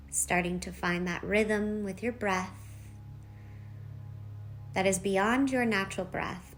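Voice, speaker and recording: A woman leading a guided meditation, giving soft instructions in short phrases with long pauses between them. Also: lowish voice. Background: background music.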